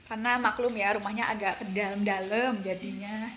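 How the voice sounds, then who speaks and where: A woman's voice: mid-range voice, fairly even intonation, normal speed, background noise. An Indonesian-accented woman presenting as a team leader, explaining casually and chattily while often checking in with listeners.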